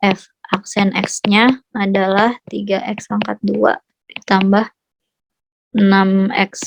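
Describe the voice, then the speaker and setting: A woman's voice: medium pitch, gravelly. A woman tutoring math in a casual, chatty way, often asking whether her students follow. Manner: chatty, checks understanding often. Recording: slightly dull lo-fi audio.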